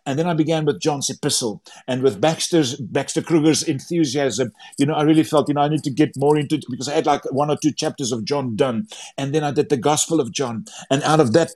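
A man's voice: on the high side, fast, hardly any pauses, a little mellow. A man whose first language is Afrikaans, speaking English with an Afrikaans accent, talking as a guest about his books in a casual interview. He talks eagerly, tripping over words and restarting often. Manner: enthusiastic, many stumbling repeats and restarts. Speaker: author and Bible translator.

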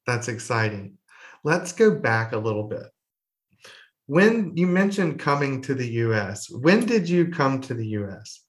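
A man's voice: mid-range voice, big pitch swings, unhurried. A man hosting a podcast, asking casual, inquisitive questions and often checking with "right?". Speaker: podcast host. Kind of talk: interview questions.

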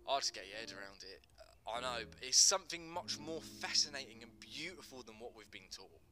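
A man's voice: medium pitch, expressive, brisk, music in the background, natural voice. A man acting an earnest gangster who explains things with emphatic passion in a dramatic screenplay reading. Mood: explaining passionately. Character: earnest Teddy Boy gangster, passionate non-binary teacher.

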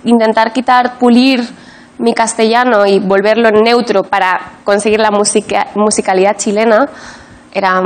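A woman's voice: medium pitch, steady tone, normal speed. A woman from Madrid, an actress being interviewed on a talk show, explaining things earnestly. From Madrid, Spain. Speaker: actress, guest. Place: TV studio with audience.

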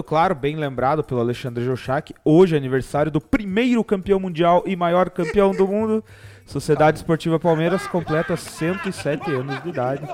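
Higher-pitched Brazilian man hosting football chat. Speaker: host of a football talk show. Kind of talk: casual sports chat on a live stream. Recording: background music behind the voice.

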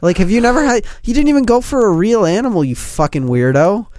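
A man's voice: high-pitched, lively intonation, fast, a little mellow. A man co-hosting a podcast, reacting with profane outrage and mockery. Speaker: podcast co-host. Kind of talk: casual podcast banter.

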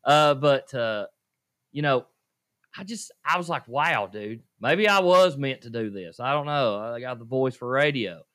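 A man's voice: medium pitch, expressive, unhurried, fairly bright tone. Laughing man telling stories. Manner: laughing, self-deprecating storyteller. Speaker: podcast co-host. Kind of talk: casual podcast storytelling.

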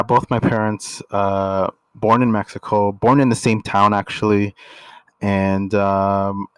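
A young man from Canada co-hosting a casual couple podcast, relaxed and full of filler words. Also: on the low side, clean tone, dry recording, rough recording. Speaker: podcast co-host.

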